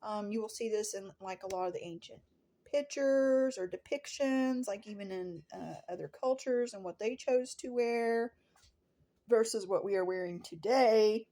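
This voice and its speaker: A woman's voice: medium pitch, moderate pace, mellow. A woman talking casually and earnestly to her viewers as a spiritual vlogger, stating things declaratively and repeating phrases for emphasis. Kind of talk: casual spoken monologue to viewers.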